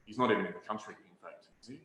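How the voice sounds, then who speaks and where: A man giving a history talk to an audience, in a measured academic way with frequent restarts. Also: lowish voice, crowd noise. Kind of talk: lecture with audience Q&A. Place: hall with audience. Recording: very echoey room recording.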